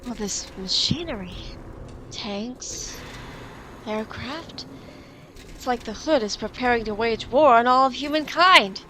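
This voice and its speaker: A woman's voice: a little high, slow pace, sharp tone, slight background noise. A woman playing a bold, heroic magician in a pulp audio drama, declaiming with defiance and indignation. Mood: determined.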